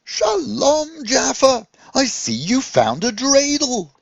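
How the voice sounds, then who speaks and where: Man as cheerful male rabbi, children's storybook, welcoming, encouraging teacher, lifted pitch, swooping intonation. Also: huge pitch swings, slow pace, creaky, slightly rough, dry recording, dull audio, full character voice. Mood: delighted greeting.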